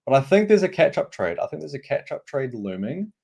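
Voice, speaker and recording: A man's voice: medium pitch, very animated, brisk, gravelly, mellow. Upbeat New Zealand man explaining crypto. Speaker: guest analyst. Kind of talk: casual explanatory interview.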